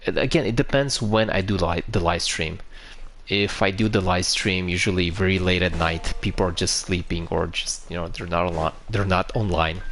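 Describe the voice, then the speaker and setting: A man's voice: sits low, normal speed, fairly bright tone. A man from Romania with a Romanian accent, chatting casually on a live stream, answering viewers and explaining a game with frequent restarts.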